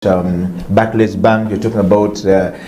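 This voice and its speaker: A male host, welcoming a guest to his studio interview show in a measured, reflective way. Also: low voice, flat delivery, warm tone, reverberant, slight background noise.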